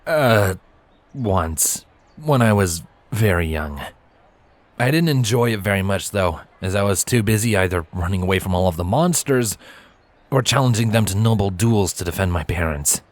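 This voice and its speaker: A man's voice: on the low side, lively intonation, moderate pace, close to an everyday voice. A man voicing a bashful, imaginative young male pony in an audio story, sheepish and mildly defensive. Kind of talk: fan fiction audio story character acting.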